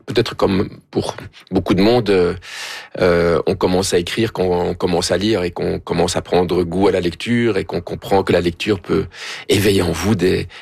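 Homme, écrivain en entretien, timbre grave et râpeux, phrases cherchées. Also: male voice, low voice, gravelly, husky, no room sound, clean studio sound. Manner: relaxed, searching for words. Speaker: guest author. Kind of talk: interview answers.

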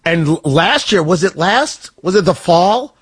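Morning radio host guy, husky and kinda high, bouncy jokey delivery, dry studio mic. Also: lively intonation, unhurried, no room sound. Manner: joking, teasing, talkative. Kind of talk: casual morning radio banter.

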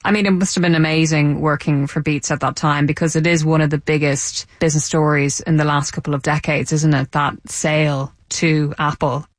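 A woman radio presenter hosting a broadcast interview, asking measured, probing questions. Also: low voice, flat delivery, no room sound. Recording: dry studio sound.

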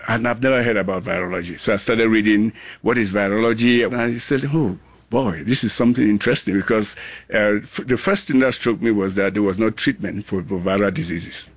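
Low-voiced man from Cameroon, earnest and halting. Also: no room sound, studio quality. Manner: earnest, halting with frequent restarts. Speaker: interview guest, global health scientist. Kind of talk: conversational interview.

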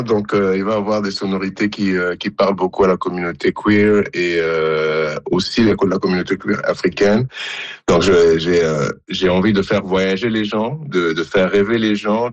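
Deep-voiced Congolese-born man, hesitant. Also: moderate pace, no room sound, muffled recording. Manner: hesitant, many fillers and restarts. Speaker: musician and DJ guest. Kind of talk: radio interview answers.

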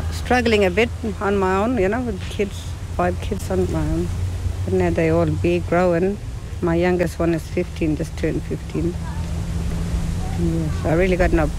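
A woman's voice: fairly low pitch, fairly even intonation, unhurried, pauses often, noisy background. A middle-aged woman, a town camp resident chatting plainly and proudly in an interview. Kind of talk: interview testimony.

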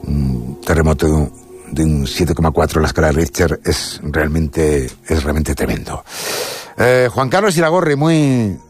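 A man's voice: extremely low pitch, animated, a little slow. A man hosting a radio show, interviewing a guest in a conversational way. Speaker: radio host. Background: music.

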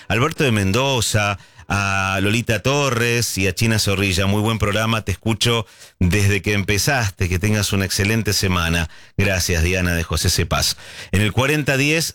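A man's voice: lowish voice, a bit bright, no room sound. A man with a Rioplatense accent hosting a late-night radio call-in show, chatty and musing aloud as he reads messages and takes calls. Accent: Rioplatense Spanish. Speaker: radio host.

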